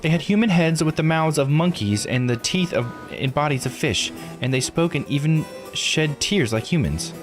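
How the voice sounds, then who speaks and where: A man voicing an eager, excitable young-sounding female guide in a visual novel, informal and enthusiastic. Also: a little high, moderate pace, hardly any pauses, over music, voice pushed up, natural voice. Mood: excited.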